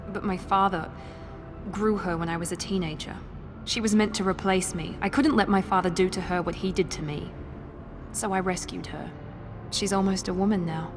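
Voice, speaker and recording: An actress playing a self-assured, guarded operative and protective sister in a video game, with a cool, commanding manner. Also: female voice, medium pitch, lightly acted. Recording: long reverb, music behind.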